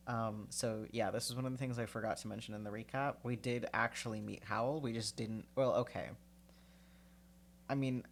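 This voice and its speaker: A man's voice: on the low side, gravelly, a little hiss or hum. A man reading a novel aloud on a livestream, stuffed up from a cold, breaking off for casual, chatty asides full of fillers. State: congested from a cold.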